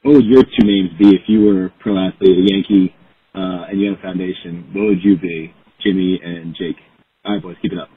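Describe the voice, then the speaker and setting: A man's voice: sits low, steady tone, fairly quick, breathy edge. A man from New Jersey leaving a casual question as a caller on a phone voicemail. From New Jersey, United States. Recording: muffled, phone-like voicemail line.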